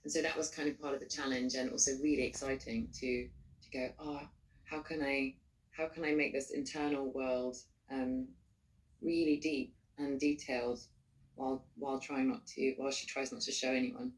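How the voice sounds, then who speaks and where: Very low-voiced actress, thoughtful, on a video call. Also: female voice, little pitch movement, moderate pace. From England. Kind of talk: panel Q&A answer. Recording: distant video call audio.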